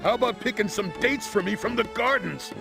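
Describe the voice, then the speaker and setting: An actor playing a strained, grumbling but polite fellow in a cartoon game. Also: male voice, high voice, brisk, creaky, over music. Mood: strained, indignant.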